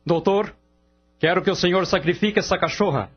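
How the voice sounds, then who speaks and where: A man's voice: high-pitched, fairly quick, husky. Impatient, callous complaining man. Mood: annoyed, callous. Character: callous, irritated man discarding his old pet. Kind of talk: radio drama acting. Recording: dull lo-fi radio drama, faint music.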